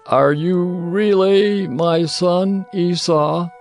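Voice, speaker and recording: Man acting a slow, solemn old patriarch. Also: high voice, steady tone, full character voice. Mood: doubtful, searching. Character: old, dim-eyed father Isaac, solemn patriarch. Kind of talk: dramatized Bible audio acting. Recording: music under the voice.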